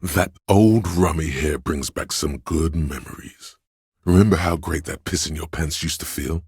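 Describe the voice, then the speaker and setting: Sleazy inner voice of vice for a video game, super deep and very husky, slow seductive goading, wants booze and thrills. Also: male voice, darkish tone, no room sound, clean studio sound, heavily acted. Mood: coaxing, leering. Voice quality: gravelly, husky bass. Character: sleazy hedonistic inner voice of a drunkard's nervous system. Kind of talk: video game character voice acting.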